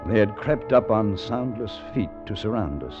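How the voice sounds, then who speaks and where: Actor as wonderstruck time traveller, vintage radio sound. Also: male voice, lowish voice, expressive, moderate pace, close to an everyday voice. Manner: dramatic, hesitant in dialogue. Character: Fowler, cautious, wonderstruck male time traveller and narrator. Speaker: narrator and character in a radio drama. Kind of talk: old-time radio drama acting, first-person narration. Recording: dull vintage radio recording with music.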